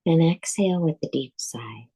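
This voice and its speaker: A woman guiding a yoga nidra meditation as a teacher, speaking calmly and deliberately in measured phrases with pauses. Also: sits low, slightly rough. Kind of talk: guided meditation, scripted instruction.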